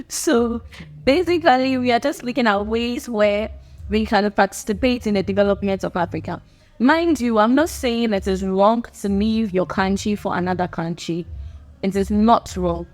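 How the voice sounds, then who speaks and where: A woman's voice: medium pitch, moderate pace. A young Ghanaian woman with a Ghanaian accent, hosting her own channel and talking earnestly and unscripted straight to camera, often repeating herself and laughing between points. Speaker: channel host.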